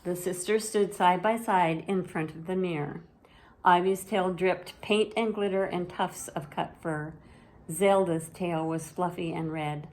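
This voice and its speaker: A woman storyteller reading a children's bedtime story aloud in a measured, expressive way. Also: fairly low pitch, rough recording.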